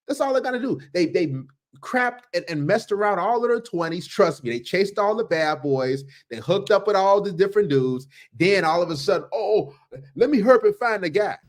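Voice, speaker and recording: A man's voice: high voice, expressive, a little fast. A man hosting a reaction commentary, ranting emphatically and repeating himself. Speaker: commentary channel host.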